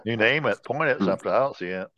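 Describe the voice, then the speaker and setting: A man with a fairly low voice chats casually as a co-host, chipping in and joking. The recording is a little dull, with little room sound. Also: lively intonation, quick pace, pushed and bright, dry recording. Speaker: podcast co-host. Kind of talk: casual chat, interjecting and joking.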